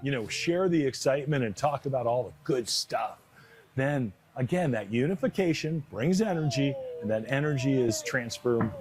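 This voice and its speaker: A man's voice: medium pitch, animated, normal speed, a little hiss or hum. A man with an American accent, an announcer by trade, answering interview questions conversationally. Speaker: stadium announcer being interviewed.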